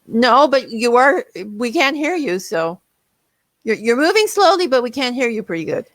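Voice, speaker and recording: A woman's voice: on the high side, very animated, moderate pace, dry recording. A woman moderating an online panel over a video call, talking casually with many fillers and restarts.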